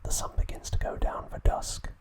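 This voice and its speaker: A man whispering a scripted recap as an ASMR host, in an unvoiced, breathy whisper with slow, measured phrasing. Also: a little mellow.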